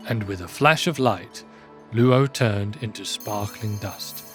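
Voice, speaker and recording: A man's voice: fairly low pitch, slow. Man narrating a fantasy story over music. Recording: music bed under voice.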